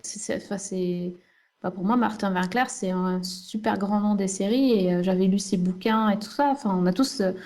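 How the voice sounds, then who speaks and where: A woman's voice: mid-range voice, moderate pace, a little mellow. A woman in casual podcast chat, speaking informally and a little hesitantly.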